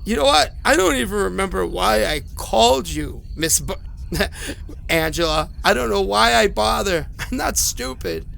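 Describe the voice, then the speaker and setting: A man's voice: high-pitched, animated, measured pace, creaky, fairly bright tone, full character voice. An actor playing a sick, rattled, apologetic man who rambles hesitantly through phone messages in a horror audio drama. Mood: bitter, apologetic.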